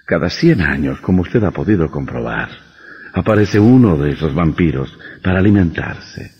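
An actor playing a sinister, gloating vampire doctor in an old radio horror drama, with a mocking, deliberate menace. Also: male voice, low-pitched, animated, a little hiss or hum. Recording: muffled old radio recording, long reverb.

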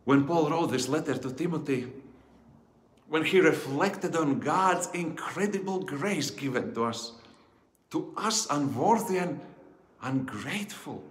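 A man in his forties preaching a sermon as a pastor, solemn and deliberate, phrase by phrase, in a church. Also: fairly high pitch, expressive. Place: indoors in a church, before the altar. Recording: echoey church hall with long reverb.

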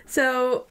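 A woman's voice: high-pitched, bright, forceful tone. Casual, jokey woman podcast host. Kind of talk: casual book discussion chat.

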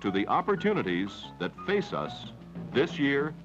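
A middle-aged man reading a measured, promotional script as narrator of a company film, on a dull 1970s film soundtrack. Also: mid-range voice, sing-song, over music.

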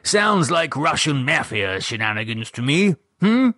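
A man voicing a fussy, mildly pompous older gentleman in an audiobook. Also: fairly high pitch, very animated, unhurried, dry recording, clean studio sound, pitched above the performer's own voice, exaggerated voice. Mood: gossiping. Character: fussy older English gentleman, chatty, newspaper reader. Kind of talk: audiobook character voice.

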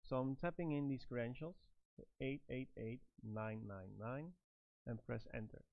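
A man's voice: mid-range voice, measured pace, no echo. Middle aged guy walking thru a product demo bit by bit, dry room, sound a little dull. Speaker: product trainer and presenter. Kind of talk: step-by-step product demonstration.

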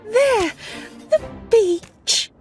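A woman's voice: very high voice, lively intonation, drawn-out words, exaggerated voice. A woman voicing a prim, fussy cartoon woman with a bossy, chirpy manner. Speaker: girlfriend character in cartoon. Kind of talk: cartoon voice acting. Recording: music score behind.

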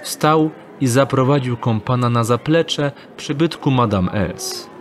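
A man narrating an audio drama in an even storytelling tone. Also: medium pitch, measured pace, soft background music.

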